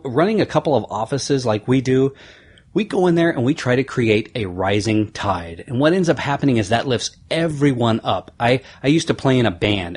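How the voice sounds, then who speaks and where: A man's voice: medium pitch, slightly rough. A man hosting a solo podcast, talking through lessons in an earnest, motivational, conversational way. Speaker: podcast host.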